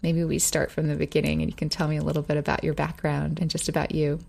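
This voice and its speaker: Earnest woman podcast host, low voice. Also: level tone, fast talker, gravelly, darkish tone. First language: Hungarian. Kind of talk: podcast intro and interview.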